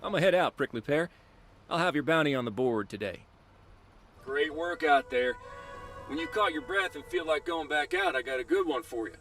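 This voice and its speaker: A man's voice: fairly high pitch, moderate pace. A man playing a genial frontier lawman in a western video game, with a folksy, encouraging manner, over music. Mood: businesslike. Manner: animated, encouraging, colloquial. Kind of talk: video game cutscene acting. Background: background music.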